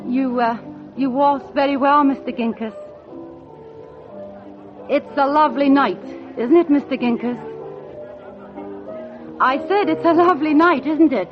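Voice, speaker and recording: A woman's voice: high-pitched, a little slow, pauses often. An actress playing a wry, lovelorn single woman, speaking in a dry, genteel, wistful way in a 1940s radio drama. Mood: nervous, hopeful. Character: wry, lovelorn single woman on holiday, narrating her hopes. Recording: muffled 1940s radio recording with music.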